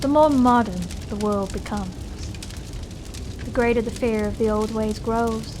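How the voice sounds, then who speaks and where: An actress playing a serene, unsettling woman of an ancient ritual family in a horror audio drama, speaking in a measured, matter-of-fact way. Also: fairly high pitch, steady tone, noisy background, lightly acted. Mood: cold detachment.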